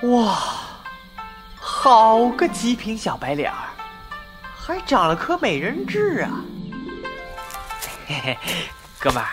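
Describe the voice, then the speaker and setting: Brash young male lead acted out for audio drama: crude, exclamatory, wildly varied pitch, music underneath. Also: wildly swooping pitch, slow, long pauses. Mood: startled. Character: brash slangy young man, flustered time-traveller.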